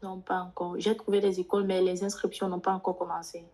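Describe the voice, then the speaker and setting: A woman's voice: lowish voice, level tone, quick pace, mellow. A native English-speaking woman, an international student asking for advice spontaneously in a hesitant, deferential way. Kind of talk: spontaneous question in a workshop.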